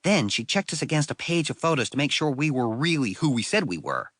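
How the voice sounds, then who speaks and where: A man playing a wry, self-deprecating sixth-grade boy, telling his story in a conversational, expressive way for an audiobook. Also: medium pitch, a little fast, dry recording, studio quality. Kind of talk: first-person audiobook storytelling.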